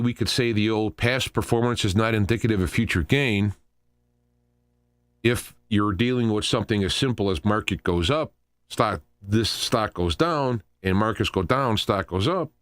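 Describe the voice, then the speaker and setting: A man's voice: lowish voice, no room sound. A man hosting a talk radio show in a casual, conversational style.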